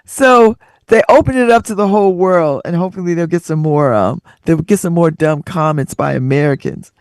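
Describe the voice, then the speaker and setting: A woman's voice: lowish voice, normal speed, a little mellow, no room sound. A woman hosting a radio talk show, reading out listener stories and riffing on them with frequent laughter and sassy asides.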